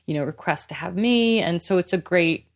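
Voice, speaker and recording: A woman in her fifties, a guest in a casual interview, talking in a chatty, lively way and laughing easily. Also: lowish voice, moderate pace. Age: 50s. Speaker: guest athlete.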